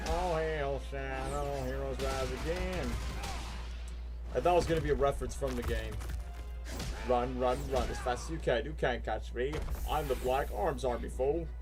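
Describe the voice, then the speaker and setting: A young man giving casual, slangy, joking commentary while reacting to game cutscenes on a live stream. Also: mid-range voice. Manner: excitable, slangy, jokey. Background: game music playing.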